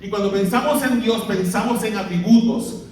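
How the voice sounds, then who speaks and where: A man's voice: sits high, normal speed, darkish tone. A Puerto Rican man preaching a sermon as pastor, questioning the congregation and having them read aloud with him. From Puerto Rico. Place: large church hall. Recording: very echoey hall, voice from a distance.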